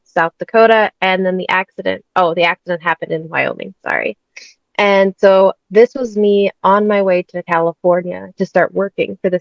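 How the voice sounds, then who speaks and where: A woman's voice: on the low side, no room sound. Chatty woman, candid storyteller. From United States. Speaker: guest. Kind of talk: personal story in an interview. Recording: dull, dry sound.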